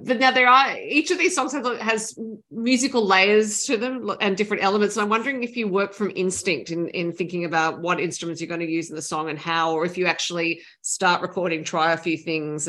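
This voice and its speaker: A woman with a bright, forceful tone and a slightly low pitch conducts the interview. She asks long, carefully built questions at an unhurried, measured pace. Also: steady tone. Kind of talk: radio-style arts interview.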